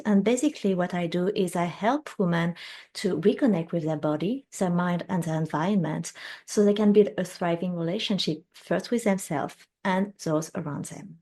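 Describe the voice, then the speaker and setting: A woman from France in her thirties speaks English with a clear French accent. Her voice sits a little low, and she tells her story in an earnest, measured, reflective way. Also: a little mellow. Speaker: guest, women's health specialist. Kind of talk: interview, personal storytelling.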